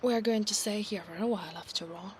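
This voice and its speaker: A woman with an Italian accent playing a proud, obsessive female centaur knight in a soft-spoken, formal ASMR roleplay, often dropping into breathy whispers. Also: medium pitch, quick pace, natural voice. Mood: calm, proud. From Italy. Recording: clear background noise, ambience.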